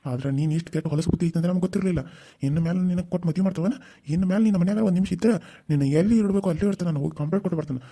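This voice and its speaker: A man's voice: high-pitched, racing, warm tone, husky. A man voicing a stern, protective older uncle who scolds and threatens in a North Karnataka accent. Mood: furious. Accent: North Karnataka Kannada.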